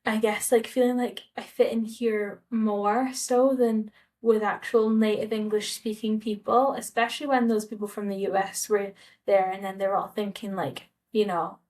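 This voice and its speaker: Scottish woman vlogger just chatting, mid pitch voice, kinda rambly and hesitant, personal story. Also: level tone, moderate pace, darkish tone. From Scotland. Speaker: travel vlogger. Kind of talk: casual personal storytelling to camera.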